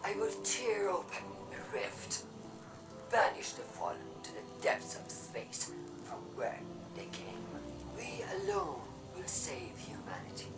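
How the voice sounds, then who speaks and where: A woman's voice: a bass voice, wildly swooping pitch, takes it slowly, gravelly, heavily acted. A woman voicing a proud, fearmongering robot faction leader in a solemn, theatrical game speech. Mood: resolute. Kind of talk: dramatic propaganda speech, game voice acting. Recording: echoey, distant broadcast with music.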